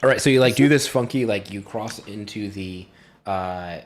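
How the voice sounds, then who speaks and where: A man acting as game master, casually and haltingly narrating a tabletop fantasy game. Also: on the low side, slight background noise.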